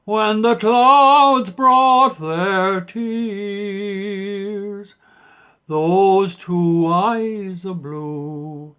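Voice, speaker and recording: A man with an Irish accent singing old ballads in a slow, sustained style. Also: high voice, fairly bright tone, smooth. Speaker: singer.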